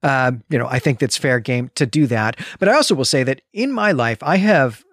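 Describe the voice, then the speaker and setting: An American man with a mid-pitched voice hosts a book podcast. He speaks conversationally but explains carefully, circling back to clarify his points. The sound is close and dry. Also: expressive, moderate pace, no echo. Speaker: podcast host. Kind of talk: conversational literary podcast discussion.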